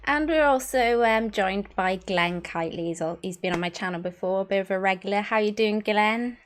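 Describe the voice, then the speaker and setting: A woman's voice: mid-range voice, fairly quick. Woman, host, upbeat, chatty, video call, casual panel. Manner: upbeat, chatty, introduces guests. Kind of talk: hosting a casual panel show.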